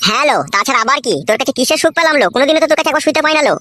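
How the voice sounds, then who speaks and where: A man-acted young cartoon husband who mocks and picks quarrels in coarse speech. His high voice races along very fast, over a noisy home-made recording. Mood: angry, mocking. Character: cheeky, foul-mouthed young husband, mocking and quick to quarrel. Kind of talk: comic cartoon dubbing in Bengali.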